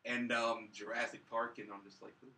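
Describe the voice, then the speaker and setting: A man's voice: medium pitch, little pitch movement, moderate pace, bright, forceful tone, recorded from a distance. A young man co-hosting a casual movie review conversation with friends. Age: young adult. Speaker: movie review podcast co-host. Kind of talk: casual group film discussion.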